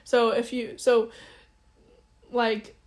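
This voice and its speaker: A woman's voice: fairly high pitch, little pitch movement, drawn-out words, a little mellow. A young woman interviewing a friend, asking long, rambling questions with many restarts. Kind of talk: oral history interview.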